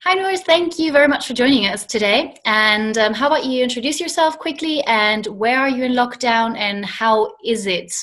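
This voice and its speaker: A woman hosting a casual travel interview series over a video call, upbeat and quick to laugh. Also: fairly high pitch, a bit bright.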